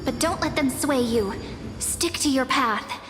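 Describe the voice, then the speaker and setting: A gentle spirit in a video game, speaking slowly and softly, with earnest warnings and a lonely undertone. Her slow lines hang in a long reverb over music. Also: sits high. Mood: reassuring. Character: gentle, lonely young woman spirit of a void. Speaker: game character.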